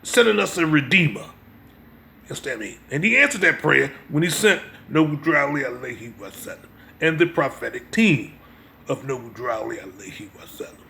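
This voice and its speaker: A man's voice: medium pitch, lively intonation, moderate pace, vocal fry, pushed and bright, slight background noise. An American man giving an impromptu sermon as a religious teacher, emphatic and exhortative, often checking that listeners understand. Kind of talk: impromptu sermon with brief reading.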